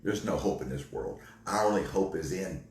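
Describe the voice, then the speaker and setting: 低く抑揚のある男性の説教調の声. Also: male voice, deep voice, lively intonation, normal speed, creaky, darkish tone. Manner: terse, exhortative asides. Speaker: ministry co-host. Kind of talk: short preaching interjections. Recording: echoey room.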